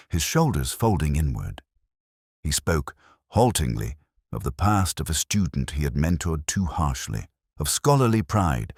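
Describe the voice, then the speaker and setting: A man narrating a scripted first-person detective story in a solemn, measured, literary manner. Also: rumbling low, no echo, studio quality.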